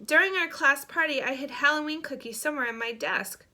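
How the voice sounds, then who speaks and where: A woman voicing an excitable, goofy and stubborn schoolboy in a storybook, insistent and clowning. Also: high-pitched, brisk, pitched above the performer's own voice. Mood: excited.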